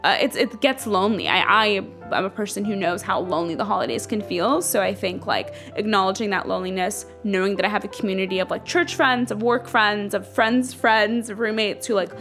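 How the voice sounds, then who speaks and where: A woman's voice: medium pitch, a little fast, few pauses, a bit bright, soft background music. A young woman from Ethiopia speaking in a casual, earnest, reflective way, filling her talk with "like". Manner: earnest, says "like" constantly. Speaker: interviewee.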